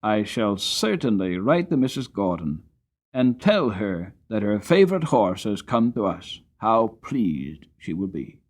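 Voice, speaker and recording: A man's voice: fairly low pitch, very animated, measured pace. A man voicing a gracious, pleased Victorian lady in a storybook, polite and genteel. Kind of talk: dramatic storybook character reading.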